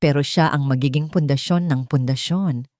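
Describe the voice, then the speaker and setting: A woman's voice: deep voice, normal speed, darkish tone, dry recording, clean studio sound, lightly acted. A Filipino woman narrator voicing an earnest, persuasive businessman husband and father in an audiobook story. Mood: insistent.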